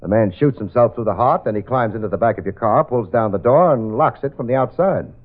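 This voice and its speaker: An actor playing a wisecracking American private detective in 1940s radio drama, dry, streetwise and sardonic. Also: male voice, medium pitch, a little fast, close to an everyday voice. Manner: dry, sardonic, hesitant asides. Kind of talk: 1940s radio detective drama acting, with first-person narration. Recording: tinny old radio recording, music.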